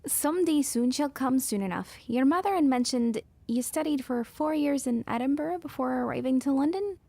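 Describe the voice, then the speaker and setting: A woman plays a proper young society lady in a period radio play, demure but determined. Her voice is mellow and fairly high, polite in every phrase, in a dry recording. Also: expressive, normal speed, creaky, no room sound, heavily acted. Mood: polite, eager. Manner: proper, polite, soft-mannered. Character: demure, agreeable, determined nineteen-year-old society lady. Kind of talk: period audio drama acting.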